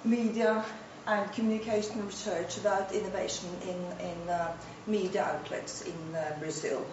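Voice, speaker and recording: A woman moderator introduces a conference speaker, pausing on fillers as she goes. She sounds far from the mic in a reverberant room, with a dull, noisy recording. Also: mid-range voice, fairly even intonation. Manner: hesitant, uh and um fillers.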